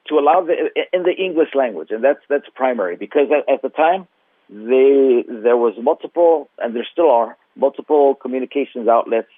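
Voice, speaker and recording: A man, a museum's marketing director, answering radio interview questions in a halting way with many fillers and restarts. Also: mid-range voice, dry recording. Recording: tinny phone line.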